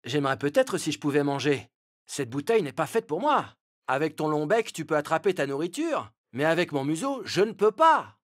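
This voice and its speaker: An actor voicing a friendly, greedy, thoughtless male fox in a children's animated fable, chummy and eager. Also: medium pitch, normal speed. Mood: frustrated.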